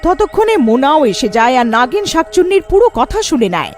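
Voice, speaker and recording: A woman's voice: high-pitched, very animated, fairly quick, darkish tone, faint music behind. A woman narrating a scripted story as its storyteller.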